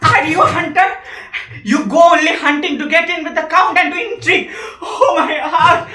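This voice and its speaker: Man acting a high, echoing, excitable nervous suitor. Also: normal speed. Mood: agitated. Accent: Indian. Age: young adult. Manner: stammering, breathless, melodramatic repetition. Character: hypochondriac, argumentative male suitor, nervous and excitable. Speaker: student actor. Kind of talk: amateur audio drama acting. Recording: roomy, echoing amateur recording.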